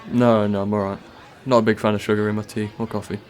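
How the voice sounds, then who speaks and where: A man's voice: fairly low pitch, flat delivery, fast talker, darkish tone, slight background noise, lightly acted. A man playing a defensive, uneasy office worker under suspicion in a radio play, speaking hesitantly and guardedly. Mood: uneasy, polite. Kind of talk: student radio drama acting. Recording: cafe crowd chatter behind.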